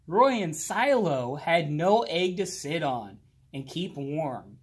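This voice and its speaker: A man reading a children's picture book aloud expressively, drawing out words and pausing. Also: on the high side, breathy edge. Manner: slow, animated, with drawn-out words and pauses. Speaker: children's storybook reader. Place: indoors, library with bookshelves.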